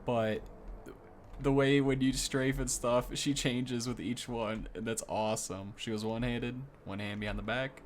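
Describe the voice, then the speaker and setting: A man's voice: mid-range voice, fairly even intonation, measured pace, a little hiss or hum. A man hosting a gaming video, giving casual, easily impressed first-look commentary that rambles and corrects itself.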